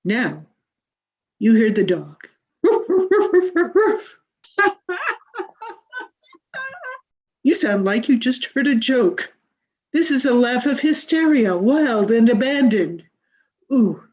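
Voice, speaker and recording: Through a dull video-call recording comes a grandiose female drama coach, acted by a woman. She drags out her emphatic instructions in a theatrical radio-comedy manner, with a husky voice that swings widely in pitch. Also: sing-song, a little mellow, heavily acted. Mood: exacting, dramatic. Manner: theatrical, emphatic, drawn-out delivery. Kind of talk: 1940s radio comedy acting.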